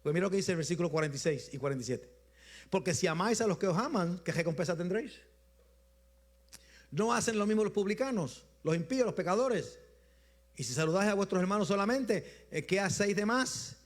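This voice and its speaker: A man with a Puerto Rican accent preaching a Sunday sermon in a rhythmic style, repeating phrases and letting his voice drop low at the end of each point. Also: animated. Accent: Puerto Rican Spanish. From Puerto Rico. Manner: rhythmic repetition, drops low at phrase ends, slows for emphasis. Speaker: bishop preaching.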